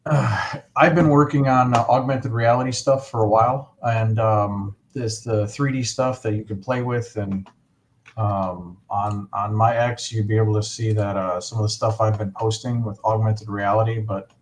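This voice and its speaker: A man's voice: on the low side, fairly even intonation, normal speed, slight background noise. A man hosting a casual livestream, chatting enthusiastically and rambling with lots of fillers. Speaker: livestream host.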